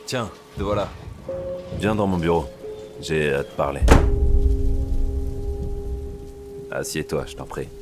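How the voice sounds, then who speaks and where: A male actor plays a paternal male police superior with an extremely deep voice. He briefs his agent in a measured, confiding, reassuring way. Music plays clearly behind him, as in a thriller audio drama. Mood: reassuring, concerned. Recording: background music under the voice.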